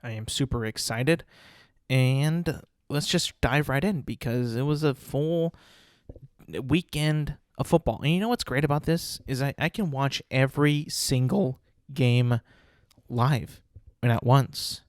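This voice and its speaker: Brief: young male podcast host, stuffy nose, loose rambling delivery full of fillers, mid-pitched voice, dry close sound. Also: unhurried, dry recording. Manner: rambling, many fillers and restarts. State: congested, sniffling. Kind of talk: casual solo podcast talk.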